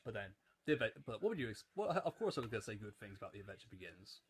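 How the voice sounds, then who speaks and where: A man's voice: fairly low pitch, big pitch swings, fast talker. A twenty-year-old man giving casual rewatch commentary, rambling in stop-start phrases with repeated words and frequent chuckling. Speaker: YouTube reviewer.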